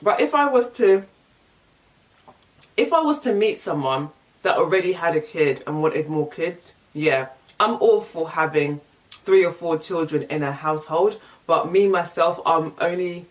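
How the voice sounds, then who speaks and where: A woman's voice: deep voice, recorded from a distance. A young woman with an English accent chatting casually and candidly, reading out and answering get-to-know-me questions. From England. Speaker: vlogger.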